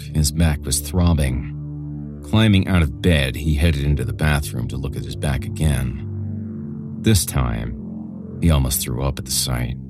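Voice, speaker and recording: A man reading a scripted horror story as a podcast narrator, with music behind him. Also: a bass voice.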